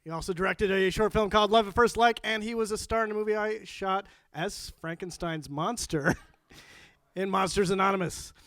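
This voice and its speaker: High-voiced man hosting a stage show. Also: normal speed, a bit bright, no room sound. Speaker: stage host. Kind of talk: live introduction of performers.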